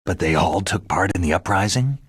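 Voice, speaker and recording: A man playing a gruff, skeptical veteran soldier in a video game, terse and questioning, with a gravelly rasp. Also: sits low, animated, a little fast, no echo, clean studio sound, heavily acted. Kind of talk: video game cutscene acting.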